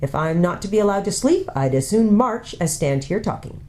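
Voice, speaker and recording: A woman's voice: medium pitch, lively intonation, a little fast, dark tone, voice pushed up, close to an everyday voice. Storybook dwarf soldier, grumbling and blunt, read by a woman. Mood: impatient.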